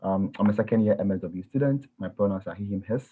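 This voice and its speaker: Young male panelist from Ghana, low husky voice, fast delivery, thin phone-like sound. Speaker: graduate student panelist. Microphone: video call.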